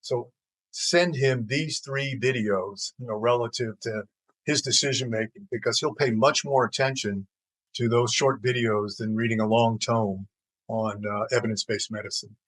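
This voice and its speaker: An older American man giving measured, explanatory answers as an interview guest, pausing as he forms his thoughts. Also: medium pitch, steady tone, fairly bright tone, no room sound, low-quality recording. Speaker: interview guest, healthcare executive.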